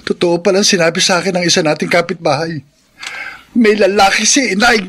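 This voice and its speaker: A man's voice: high-pitched, fairly quick, sharp tone, husky, exaggerated voice. A Filipino man playing a hurt, resentful younger brother in a radio drama, blunt and emotional. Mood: indignant. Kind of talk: Tagalog radio drama acting.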